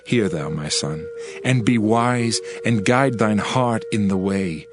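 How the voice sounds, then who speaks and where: A man's voice: fairly low pitch, slow pace. A man giving a dramatized Bible reading in a solemn, emphatic, oratorical manner. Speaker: scripture reader. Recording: music behind the voice. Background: music.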